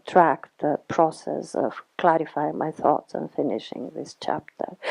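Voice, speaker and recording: A woman's voice: lowish voice, a little mellow. Woman giving a conference talk. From Romania. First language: Romanian. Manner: careful, formal, many hesitations. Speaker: theater scholar presenting a paper.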